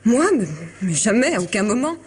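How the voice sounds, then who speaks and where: A woman's voice: on the high side, very animated, fairly quick, breathy edge. An actress playing an elegant, troubled woman confiding in a lawyer friend in a vintage French radio drama, hesitant yet articulate. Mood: agitated, defensive. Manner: hesitant, restarting, articulate and formal.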